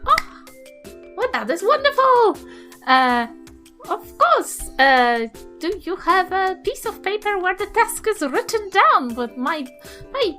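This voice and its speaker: A woman's voice: high voice, bright, forceful tone, full character voice. A woman voicing a kindly, chatty old granny adventurer, fussing and sweetly polite in a tabletop game.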